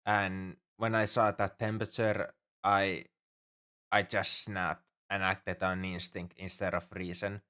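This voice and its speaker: A man's voice: low-pitched, level tone, a little slow, pushed and bright. A man playing an anxious, caring alien crewmate on a spaceship in an ASMR roleplay, speaking earnestly and hesitantly with slightly formal phrasing. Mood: remorseful, shaken. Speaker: ship crewmate tending a sick human.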